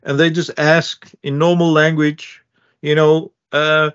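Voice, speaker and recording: A man's voice: a little high, little pitch movement, slow, a bit bright, clear, resonant tone. A middle-aged man co-hosting a casual tech discussion as a security specialist, recorded over a video call from a home office. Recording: slightly dull video-call audio.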